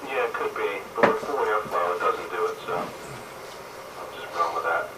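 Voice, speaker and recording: A man's voice: low-pitched, measured pace. A man chatting casually on air as a participant in a radio net, heard over a two-way radio. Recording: very noisy, distant, echoey radio reception.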